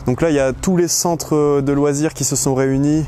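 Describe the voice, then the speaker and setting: A man's voice: fairly high pitch, fairly even intonation, a little fast, dark tone. A man presenting a local programme as host and reporter in an unscripted, somewhat hesitant way. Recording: crowd chatter and background noise.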